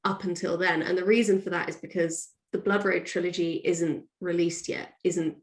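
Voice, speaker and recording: A woman's voice: fairly low pitch, steady tone, normal speed. A woman author being interviewed, chatting casually and thinking aloud in a discursive way. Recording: roomy, echoey sound.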